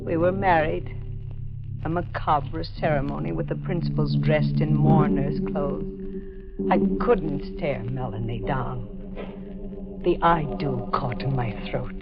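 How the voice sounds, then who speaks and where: An actress playing a tormented, haunted wife, with intense, dramatic delivery in 1940s radio drama style. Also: female voice, sits low, fairly even intonation, a little slow, creaky, loud music behind the voice. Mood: haunted, somber. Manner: dramatic, intense, clipped phrases. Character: tormented, haunted wife telling her dark story. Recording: muffled vintage 1940s radio recording.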